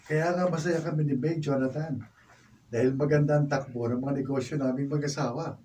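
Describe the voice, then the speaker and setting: An actor playing a trusting, forgiving husband and friend in a Filipino radio drama, with a Filipino accent. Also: male voice, mid-range voice, fairly even intonation, fairly quick, lightly acted. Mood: upbeat. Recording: distant mic, faint room noise.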